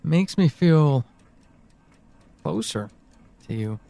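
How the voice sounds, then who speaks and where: An actor playing an anxious, conflicted man in an audio drama monologue, speaking haltingly with sighs. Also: mid-range voice, animated, vocal fry, warm tone, exaggerated voice. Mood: wistful, uneasy. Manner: hesitant, halting, sighing. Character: anxious, conflicted man leaving voice messages to a missing loved one.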